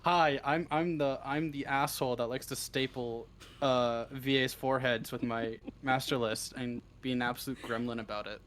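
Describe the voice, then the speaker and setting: A man's voice: mid-range voice, steady tone, normal speed, a bit bright. A man chatting casually as an interview guest, joking at his own expense and swearing freely. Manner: joking, self-deprecating, sweary. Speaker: interview guest, script writer. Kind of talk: casual chat.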